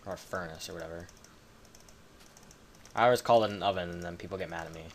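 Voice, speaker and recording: Low-voiced Canadian guy rambling over gameplay. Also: fast talker, a little hiss or hum. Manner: rambling, chatty, swears casually. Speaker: gaming video creator. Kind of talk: casual gameplay commentary.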